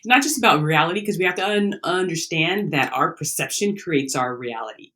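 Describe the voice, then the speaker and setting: A man with a fairly high voice, talking as a podcast guest and teacher. He explains things conversationally, with a slight stutter, repeated words and small check-ins along the way. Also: expressive, a little fast, bright, forceful tone. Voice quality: mild occasional stutter. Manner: repeats words, checks in with "right" and "you know". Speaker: interview guest, teacher and coach.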